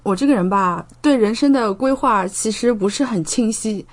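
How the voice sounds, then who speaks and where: A woman's voice: mid-range voice, moderate pace. Chatty woman telling stories in an interview. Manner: chatty, animated storyteller who re-enacts speech and checks in with the listener. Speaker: podcast guest, medical doctoral student. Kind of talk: casual interview storytelling.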